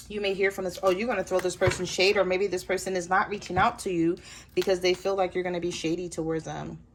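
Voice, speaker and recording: A woman with a hoarse voice giving a chatty tarot reading straight to her viewers. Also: lowish voice, fairly quick, background noise. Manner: relaxed, chatty, addresses viewers directly. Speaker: tarot reader.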